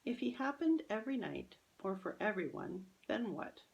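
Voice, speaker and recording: A woman's voice: medium pitch, sing-song, moderate pace, gravelly, darkish tone, lo-fi sound, pitched above the performer's own voice. A woman voicing a kindly, whimsical old great-aunt soothing children in a storybook. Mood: gently encouraging.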